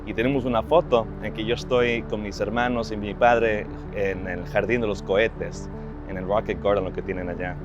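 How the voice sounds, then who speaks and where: A man's voice: on the low side, little pitch movement, normal speed, muffled recording. A man in his thirties, born in Ecuador, speaking earnestly about his work and family in a personal interview, often restarting his phrases. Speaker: social media strategist. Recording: clear music bed behind voice. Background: music.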